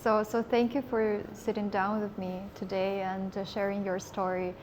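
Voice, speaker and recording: A woman's voice: mid-range voice, level tone, moderate pace, a little mellow, a little hiss or hum. A woman hosting an interview, asking questions and offering encouraging murmurs. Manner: encouraging, frequent back-channel murmurs. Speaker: interviewer, host. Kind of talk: casual interview.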